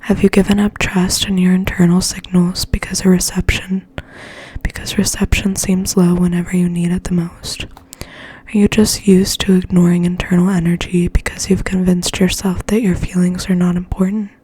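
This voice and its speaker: A woman whispering in a gentle, breathy, slow ASMR style, as if reading poetry aloud and sharing quiet thoughts. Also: on the low side, level tone. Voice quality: breathy, mostly unvoiced whisper. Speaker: ASMR host and poetry reader.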